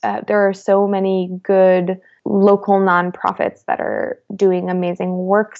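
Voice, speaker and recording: A woman's voice: on the low side, a little mellow. Hesitant, reflective woman, lo-fi sound. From United States. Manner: thoughtful, hesitant, many fillers and restarts. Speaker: interview guest. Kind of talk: reflective conversational interview.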